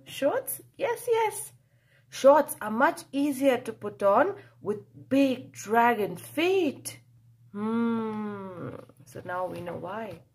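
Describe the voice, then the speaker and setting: A woman reading a picture book aloud to children, expressive and playful, drawing out key words for emphasis. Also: on the high side, slightly rough. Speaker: children's storyteller.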